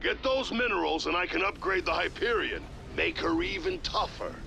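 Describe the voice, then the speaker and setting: A brash, folksy spaceship engineer with a gravelly, high-set voice. He talks casually and slangily like a video game sidekick, over music. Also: lively intonation, moderate pace. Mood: cocky. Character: cocky, folksy male starship engineer. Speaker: ship engineer giving tips. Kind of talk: video game character acting. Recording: loud game music behind.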